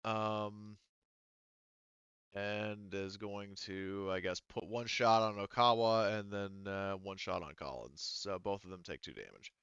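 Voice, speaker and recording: A man's voice: lowish voice, steady tone, unhurried, a bit bright, clear, resonant tone, no room sound, highs cut off. A man speaking casually as a tabletop game master, thinking aloud hesitantly as he works through the rules.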